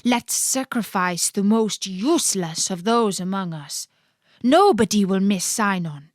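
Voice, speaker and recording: Woman as wily Greek war leader, male, cold, commanding, storybook myth, measured pace, dry sound. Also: medium pitch, lively intonation, dry recording, close to an everyday voice. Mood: scornful, scheming.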